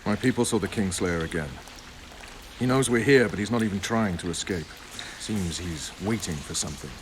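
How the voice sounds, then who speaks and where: An actor playing a gruff, cynical military commander in a video game, blunt and sardonic. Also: male voice, low voice, normal speed, noisy background, lightly acted. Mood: grim, wry. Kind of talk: video game cutscene acting. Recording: faint rain and ambience behind.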